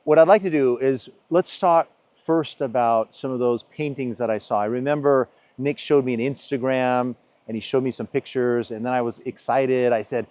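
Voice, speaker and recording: An American man interviewing a painter, asking warm leading questions in an easy conversational way. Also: medium pitch, steady tone, moderate pace, no room sound. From United States. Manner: friendly, leading questions, talks over answers.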